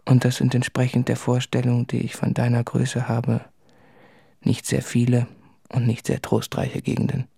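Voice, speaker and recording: An actor playing an anguished, self-doubting man who speaks in a brooding, deliberate, confessional way in a literary radio play. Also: mid-range voice, monotone, creaky, warm tone, husky. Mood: bleak, measured. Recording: music under the voice.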